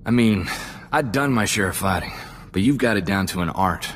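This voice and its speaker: A man voices a loyal young spacefaring sidekick with a lowish voice. He speaks sincerely and plainly, with folksy reflection, as in a video game cutscene, over some background noise. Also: animated, normal speed, natural voice. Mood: humble, sincere.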